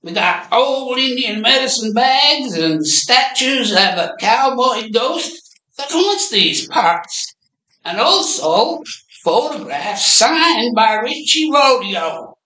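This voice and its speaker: A grizzled old-timer acted by a man from a comic radio play, working a lonely gas station. He talks very slowly in a bright, rather high voice with a lot of swoop, chuckly and folksy, in a roomy recording. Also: sing-song, heavily acted. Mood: folksy, eager. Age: older. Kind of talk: amateur radio play acting. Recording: roomy echoey amateur recording.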